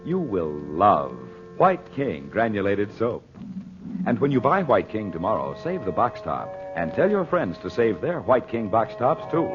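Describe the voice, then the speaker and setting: A man announces and narrates in scripted 1940s radio style. His pitch moves in a lively, animated way, over prominent music on a muffled old transcription. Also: measured pace.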